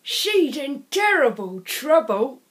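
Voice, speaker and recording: A woman plays a kindly old grandfather from a children's storybook, full of worry. The words are drawn out and emphatic, pitched high and swooping anxiously. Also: sing-song, slow, exaggerated voice. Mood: pitying, dismayed. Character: worried, kindly old grandfather lighthouse keeper.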